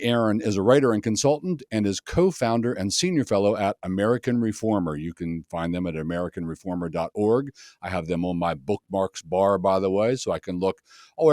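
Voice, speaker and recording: Male pastor-host, low voice, chatty and friendly, dry close sound. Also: darkish tone, dry recording. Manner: friendly, chatty, with small jokes. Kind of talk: hosting an interview podcast.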